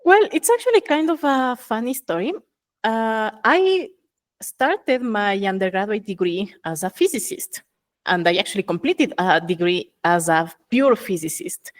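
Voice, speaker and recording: Ecuadorian woman explaining with careful pauses. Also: medium pitch, very animated. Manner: thoughtful, explains step by step with many "uh" pauses. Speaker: geophysicist guest. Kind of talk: casual interview.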